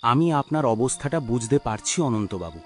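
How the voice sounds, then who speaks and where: Considerate male friend for cartoon drama, voice actor, mid-pitched, tactful, clear music bed. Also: animated, fairly quick, natural voice. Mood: consoling. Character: sympathetic, tactful male family acquaintance. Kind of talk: cartoon voice acting.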